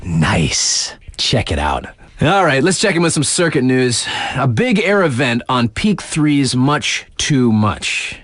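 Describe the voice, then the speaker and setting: A man's voice: medium pitch, sing-song, slow, a bit bright, dry recording, studio quality, heavily acted. An actor playing a laid-back, wry young male radio DJ for a snowboard game, with slangy, offhand, casual patter. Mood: upbeat, wry. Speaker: radio DJ on a ski mountain station.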